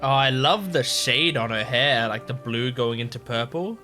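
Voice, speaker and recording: A man's voice: medium pitch, moderate pace, sharp tone, soft background music. A man giving casual, informal reaction commentary as a host, rambling and swearing freely.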